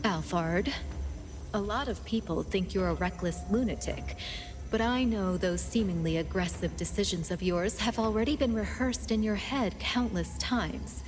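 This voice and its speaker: A woman playing a shrewd, self-assured strategist in a video game, persuading in a confident, deliberate way. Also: medium pitch, lightly acted. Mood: calm, persuasive. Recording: prominent music behind the voice.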